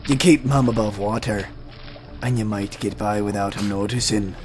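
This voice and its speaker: A man playing a gruff, menacing sailor in an audio drama, with a gravelly voice and curt, rough folksy speech. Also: fairly low pitch, steady tone, moderate pace, a little mellow, natural voice. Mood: stern warning.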